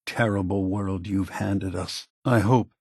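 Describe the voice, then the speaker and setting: A man playing a weary, grave synth leader in a video game, speaking deliberately and solemnly with a husky voice. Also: low voice, steady tone, a little mellow, no room sound, clean studio sound, heavily acted. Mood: solemn reproach. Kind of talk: scripted video game voice acting.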